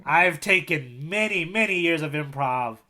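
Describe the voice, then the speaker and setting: A man's voice: fairly high pitch, lively intonation, unhurried, pushed and bright. A man co-hosting a comedy podcast, bantering casually with joking, mock-serious teasing. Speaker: podcast co-host.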